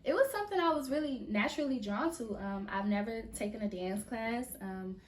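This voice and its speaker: Young woman, relaxed and slangy. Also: a little high, fairly quick, reverberant, recorded from a distance. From Mississippi, USA. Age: young adult. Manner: relaxed, slangy, emphatic repetitions. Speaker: guest choreographer. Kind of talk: casual interview. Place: podcast studio.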